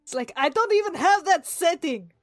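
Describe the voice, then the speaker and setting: A woman's voice: extremely high pitch, lively intonation, moderate pace, no room sound, studio quality, faint music behind. A woman playing a tabletop roleplaying game, casually describing what her character does.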